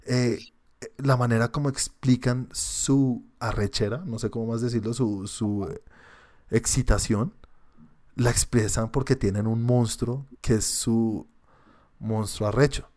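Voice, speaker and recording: A man's voice: medium pitch, unhurried. A man with a Colombian accent co-hosting a podcast, chatting casually about shows and films, rambling and swearing. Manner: animated, swearing, laughing, rambling. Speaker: podcast co-host.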